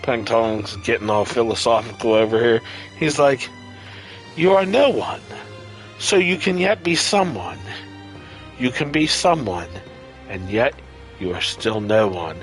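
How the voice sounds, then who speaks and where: A man's voice: medium pitch, expressive, measured pace, pauses often. Sarcastic male game streamer, faint music behind. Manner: irreverent, sarcastic, profane. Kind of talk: casual live gameplay commentary.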